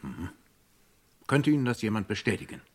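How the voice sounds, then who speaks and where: An actor playing a polite but unyielding police inspector in a vintage radio crime drama, formal and probing. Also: male voice, on the low side, sing-song, moderate pace. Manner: formal, probing, coolly courteous.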